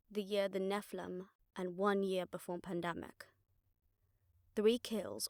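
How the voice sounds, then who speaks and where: Wry witch detective played by a woman, creaky voice. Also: medium pitch, no room sound, clean studio sound, natural voice. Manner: casual, wry, mildly swearing, explanatory. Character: exasperated, sharp-tongued witch detective briefing her partner. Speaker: audio roleplay voice actor. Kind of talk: conversational audio roleplay acting.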